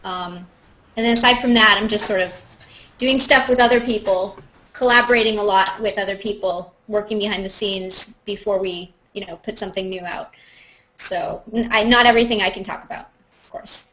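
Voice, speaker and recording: A woman hosting an online group hangout, chatting casually and informally and reading aloud in an animated way. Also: medium pitch, brisk. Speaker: host of a video hangout, actress. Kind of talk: casual video-call chat and reading comments aloud. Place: online group video call. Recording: dull, lo-fi video call audio.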